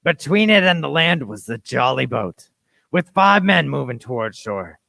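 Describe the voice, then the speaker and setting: A man's voice: medium pitch, sing-song, moderate pace, gravelly, pushed and bright, exaggerated voice. A man playing an adventurer who narrates his perilous tale in a scripted radio play. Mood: suspenseful.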